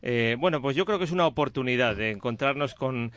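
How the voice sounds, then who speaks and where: A male radio co-host with an average-pitched voice takes a long, hesitant run at his question, full of fillers and restarts. The studio sound is dry and close. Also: expressive, brisk, a bit bright, no echo. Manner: hesitant, rambling lead-in with many fillers. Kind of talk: radio interview.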